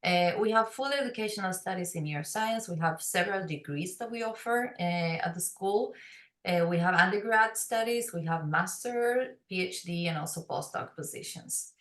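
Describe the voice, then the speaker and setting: A woman's voice: on the low side, normal speed, reverberant. A woman with a Uruguayan Spanish accent, co-head of an academic program, explaining it in an online presentation with frequent hesitations. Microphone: video call.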